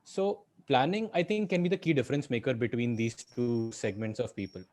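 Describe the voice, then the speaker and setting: A man's voice: medium pitch, a little fast, a little mellow. A man in his twenties with an Indian accent, sharing his view conversationally as a student panelist. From Delhi, India. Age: 20s. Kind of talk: conversational opinion.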